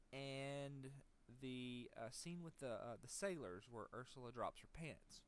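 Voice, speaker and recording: Man doing halting, casual game commentary. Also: mid-range voice. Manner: halting, hedging, repeats words while thinking. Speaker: let's play commentator.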